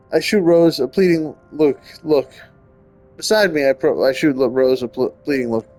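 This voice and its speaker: A man's voice: on the high side, darkish tone. A man giving casual gameplay commentary and reading story text aloud, often stumbling and rereading. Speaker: gaming streamer.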